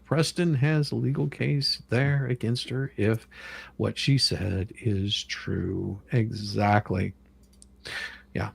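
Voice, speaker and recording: A man with a medium-pitched voice hosts a casual livestream. He talks haltingly, circling back and repeating phrases with emphasis. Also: creaky. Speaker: livestream host with own channel.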